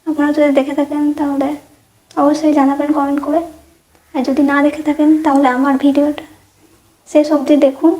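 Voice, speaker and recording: Female reaction host, Indian Bengali accent; high, even-pitched voice, casual chat. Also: brisk, long pauses, poor audio.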